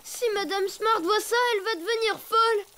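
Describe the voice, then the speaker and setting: A woman's voice: extremely high pitch, moderate pace. A woman voicing a young, cautious elephant girl in a cartoon, anxious and a little whiny. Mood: worried. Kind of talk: French cartoon dub.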